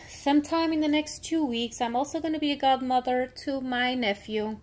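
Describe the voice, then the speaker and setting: A woman in her thirties talking candidly in a personal vlog, rambling with frequent fillers; she works in healthcare. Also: fairly high pitch. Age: 30s. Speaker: vlogger; healthcare worker.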